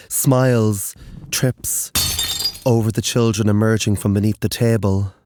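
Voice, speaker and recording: A man narrating a scripted horror story in a grave, deliberate manner with measured dramatic phrases. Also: deep voice, a little mellow, smooth, no echo, clean studio sound.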